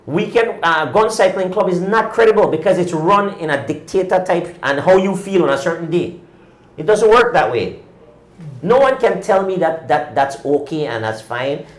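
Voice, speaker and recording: A man's voice: high voice, expressive, moderate pace, roomy sound, poor audio. A man in his fifties hosting a cycling talk show, ranting indignantly and pressing his points with repeated rhetorical questions. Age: 50s. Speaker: cycling podcast host. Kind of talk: opinionated talk-show rant.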